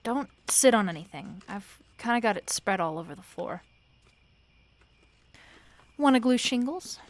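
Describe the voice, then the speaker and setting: A woman playing a tired, wry, sleepless roommate in a soft-spoken audio roleplay, talking casually and haltingly with fond, dry teasing. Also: medium pitch, animated. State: sleepy, weary.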